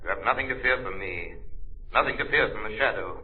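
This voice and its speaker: An actor playing a commanding, taunting crime-fighting avenger through a filter, declaiming in old radio melodrama style. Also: male voice, lowish voice, brisk. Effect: telephone-like filter. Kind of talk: 1940s radio melodrama acting. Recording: thin, echoey vintage radio recording.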